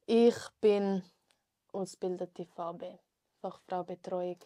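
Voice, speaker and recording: A woman's voice: on the low side, fairly even intonation, slow, mellow, no room sound, studio quality. A young woman with a Swiss German accent, a guest talking earnestly and chattily in a podcast conversation. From Switzerland. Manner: earnest, chatty, many fillers like voll and so. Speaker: guest rapper.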